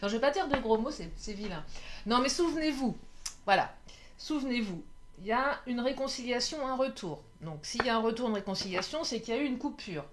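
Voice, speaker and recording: A woman with a fairly high, slightly hoarse voice talks her viewers through a card spread. She chats loosely, laughs and corrects herself as she goes. A faint hiss sits under the recording. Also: fairly quick. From France. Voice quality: lively, chatty, laughs often, self-corrects. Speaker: tarot and oracle card reader. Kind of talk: casual card reading monologue to viewers. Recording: faint background noise.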